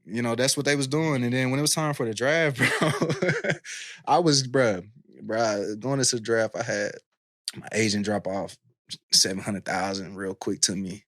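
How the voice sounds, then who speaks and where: A man's voice: medium pitch, lively intonation, normal speed, fairly bright tone, no room sound, clean studio sound. A man in his thirties from Kansas City, a podcast guest talking candidly in slangy casual chat with lots of fillers. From Kansas City, USA.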